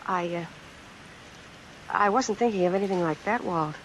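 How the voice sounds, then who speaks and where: An actress playing an earnest, guarded young American secretary, hesitant and polite, in old-time radio suspense style. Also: female voice, on the low side, normal speed, close to an everyday voice, rough recording. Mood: guarded, insistent. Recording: vintage radio recording, hiss and heavy rain. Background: rain sounds added over the broadcast.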